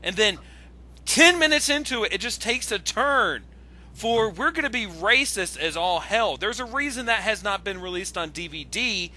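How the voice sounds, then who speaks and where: A man ranting casually on an online voice call, exasperated and excitable, stammering and swearing when he gets worked up. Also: sits high, moderate pace. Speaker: guest commentator on an online video.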